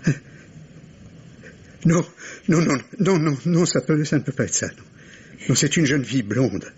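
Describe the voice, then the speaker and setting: An actor playing an anxious middle-aged professor and worried father in an old radio mystery play, halting and repeating his words. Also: a little high, lively intonation, a little mellow, heavily acted. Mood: anxious, agitated. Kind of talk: old French radio drama acting. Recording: dull lo-fi vintage radio, clear hiss.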